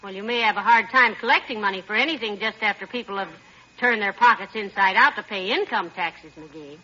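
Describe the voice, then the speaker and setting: An American actress playing a wry, skeptical, teasing wife in 1940s radio comedy, with a dry needling manner. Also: female voice, medium pitch, brisk, sharp tone. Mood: supportive. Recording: dull, lo-fi 1940s radio recording.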